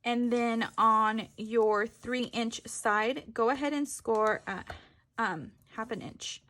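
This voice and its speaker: A woman's voice: on the high side, takes it slowly, fairly bright tone. A woman giving a casual step-by-step craft tutorial, informal, correcting herself and thinking aloud as she goes.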